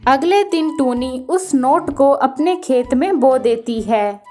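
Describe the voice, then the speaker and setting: A woman reads a scripted story for children. Her voice is notably high and her pacing slow. Faint music accompanies her. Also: lively intonation, mellow. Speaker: narrator.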